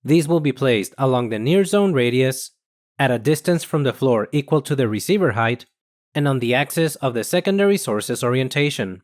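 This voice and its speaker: A man reading scripted instructional narration in a measured, explanatory manner. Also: mid-range voice, expressive, darkish tone. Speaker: software tutorial narrator.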